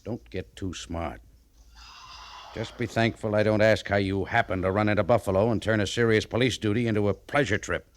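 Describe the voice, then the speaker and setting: An American man playing a stern, dry army major in a 1950s radio western, speaking with measured authority and small pauses. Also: lowish voice, steady tone, close to an everyday voice. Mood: dryly warning. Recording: noisy old radio recording.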